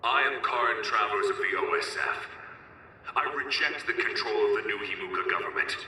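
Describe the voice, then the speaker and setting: An actor playing a defiant rebel military commander in a video game, making a scornful, declamatory speech to a nation. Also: male voice, lowish voice, normal speed, bright, forceful tone, recorded from a distance. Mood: scornful, commanding. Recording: echoing broadcast from afar, faint noise.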